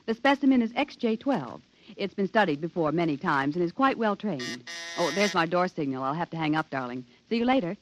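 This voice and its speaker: A woman's voice: fairly low pitch, expressive, fairly quick, noisy background, lightly acted. An actress in a 1950s radio drama playing a cool, restrained woman scientist with a clipped, formal manner. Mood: businesslike. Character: cool, controlled professional woman, geneticist professor. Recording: dull vintage 1950s radio recording.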